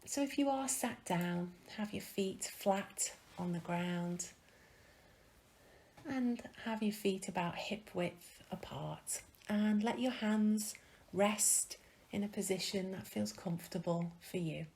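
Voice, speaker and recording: A woman leading a guided meditation in a soft, breathy, soothing manner with gentle pauses. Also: medium pitch.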